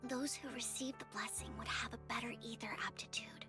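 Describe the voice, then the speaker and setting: A gentle young woman from a video game, voiced by an actress, speaks softly and formally in a fairly high voice. She is earnest and carries deep sadness, with clear music playing behind her. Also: fairly even intonation, brisk, in a whisper, lightly acted. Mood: sad, confiding. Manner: soft, earnest, formal address. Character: gentle, sorrowful, polite young woman shunned as a bringer of misfortune.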